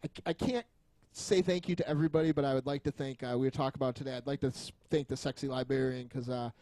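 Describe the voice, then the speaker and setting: A man's voice: mid-range voice, fairly even intonation, fast talker, warm tone, dry recording. A man chatting casually as a podcast co-host, rambling and joking with frequent chuckles and some swearing. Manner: jokey, foul-mouthed, rambling, often chuckling.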